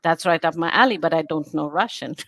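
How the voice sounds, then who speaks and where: A middle-aged woman with British-learned English telling stories as an interview guest, animated and full of quoted asides. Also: sits low, fairly quick, creaky. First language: English learned in British form, also speaks Hindi. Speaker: guest, bilingual book creator. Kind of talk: casual interview chat.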